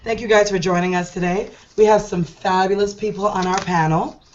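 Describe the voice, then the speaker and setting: A middle-aged woman hosting an online show, hoarse and deep-voiced from a cold, talking in a chatty, rambling, enthusiastic way. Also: fairly even intonation, a little fast, darkish tone, dull audio. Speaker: host. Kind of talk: casual online show talk.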